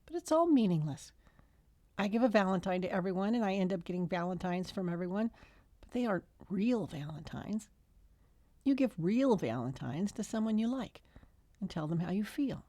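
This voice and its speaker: A woman's voice: mid-range voice, expressive, normal speed, dark tone, dry recording, natural voice. A woman voicing a skeptical, matter-of-fact schoolgirl in a storybook reading. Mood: dismissive.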